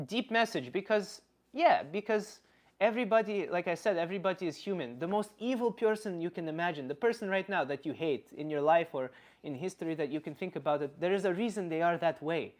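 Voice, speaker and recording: A man playing a blunt Slavic uncle, philosophizing in halting, casual livestream talk and answering chat comments. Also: high-pitched. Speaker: livestream host.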